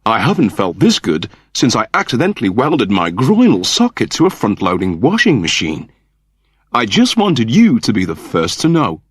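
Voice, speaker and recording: A man's voice: lowish voice, wildly swooping pitch, normal speed, breathy edge, dry recording, studio quality, exaggerated voice. A man voicing an eager, fussy male android who has just become human, prim, wordy and naively earnest, in an audiobook comedy. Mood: giddy, overwhelmed. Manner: prim, wordy, over-polite.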